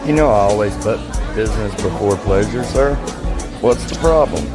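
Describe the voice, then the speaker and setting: A man's voice: lowish voice, normal speed, lightly acted. An actor playing an easygoing, womanizing army sergeant with a folksy, unbothered way of talking in an audio drama. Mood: breezy. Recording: loud music and crowd behind.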